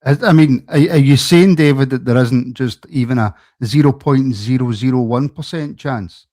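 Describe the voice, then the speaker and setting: A man's voice: mid-range voice, moderate pace, mellow, dry recording, studio quality. A man co-hosting a football podcast, teasing his co-host in casual chat. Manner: joking, teasing.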